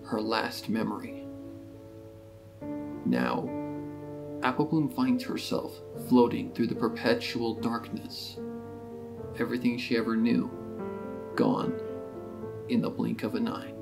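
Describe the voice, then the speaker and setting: Guy narrating a sad story, creaky medium voice, guitar music clearly behind. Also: medium pitch, expressive, slow pace, pauses often. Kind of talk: scripted dramatic story narration.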